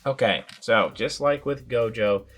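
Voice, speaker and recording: A man hosting a casual toy review, his voice raspy from a sinus infection, talking in a relaxed, rambling way with filler words. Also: mid-range voice, measured pace, faint music behind. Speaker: toy reviewer, channel host.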